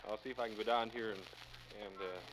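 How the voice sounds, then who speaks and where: A man working as a live radio announcer in the 1950s, coaxing children in a halting, folksy way and repeating their answers. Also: lowish voice, steady tone, music in the background, poor audio. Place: toy store live broadcast.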